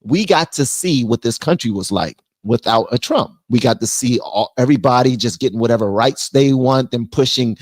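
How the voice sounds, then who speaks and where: An animated man hosts a political livestream, blunt and slangy, now and then slipping into mocking voices. His voice sits at an ordinary pitch and sounds dry and close. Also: no echo. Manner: animated, drops into mock voices. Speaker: livestream host. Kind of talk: political commentary chat.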